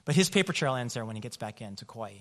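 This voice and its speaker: A man's voice: medium pitch, expressive, fast talker, fairly bright tone. A man from California, a pastor, telling personal stories in a loose conversational way with many fillers. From California, USA. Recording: long hall reverb.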